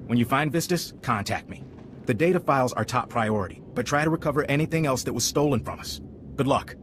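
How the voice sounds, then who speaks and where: A man's voice: mid-range voice, expressive, fast, a little hiss or hum, lightly acted. Man playing stern official giving clipped briefing. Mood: urgent, businesslike. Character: stern, businesslike male military intelligence official. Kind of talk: video game voice acting.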